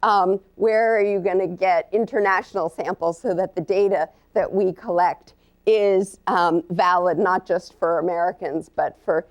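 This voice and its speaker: A woman professor giving a live lecture to an audience, earnest and halting, with many ums and uhs. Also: fairly low pitch, fairly even intonation, muffled recording. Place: lecture room.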